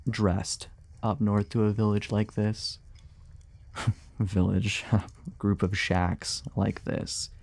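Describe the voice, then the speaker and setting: A weary monster hunter from a fantasy ASMR roleplay, acted by a man, speaks in a dark, mellow voice with plenty of gravel. He takes his time, muttering and hesitating. He grumbles with dry sarcasm, guarded but willing to chat. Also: low voice, full character voice. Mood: teasing, curious.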